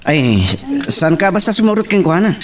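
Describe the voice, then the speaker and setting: A man's voice: high-pitched, sing-song, normal speed, recorded from a distance. A man playing a hot-headed young man in an Ilocano romance drama, with an Ilocano accent. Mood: troubled.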